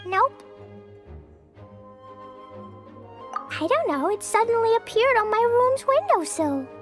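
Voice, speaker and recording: A woman voicing a small, earnest, naive and excitable girl in a video game cutscene, talking in a childlike way. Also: extremely high pitch, normal speed. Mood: puzzled, earnest. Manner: childlike, speaks of self in third person. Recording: music behind the voice.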